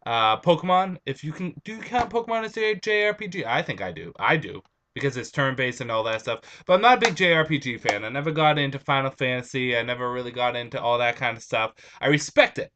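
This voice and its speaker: A young man chatting casually on his home vlog, his voice a bit raspy and stuffed up from allergies, rambling with restarts. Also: mid-range voice, sharp tone. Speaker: vlogger.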